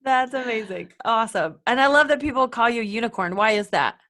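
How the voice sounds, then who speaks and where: A woman's voice: on the high side, lively intonation, fairly quick, fairly bright tone. A woman hosting a kids' podcast, asking questions and affirming her guest in an encouraging way. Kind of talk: friendly interview questions.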